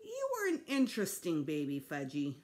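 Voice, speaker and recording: A woman's voice: low-pitched, wildly swooping pitch, normal speed. A woman voicing a patient, placating mother of two boys in a children's storybook. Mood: gentle. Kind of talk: children's book read aloud.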